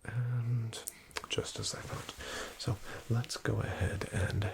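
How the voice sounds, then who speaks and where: A man whispering as a formal, dryly ironic doctor in a soft-spoken ASMR roleplay. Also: mid-range voice, steady tone, takes it slowly, gravelly.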